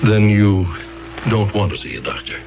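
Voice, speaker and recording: An actor playing an earnest, flustered defense attorney in a 1970s radio drama. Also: male voice, fairly low pitch, normal speed, vocal fry, over music. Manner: earnest, stammering, pleading.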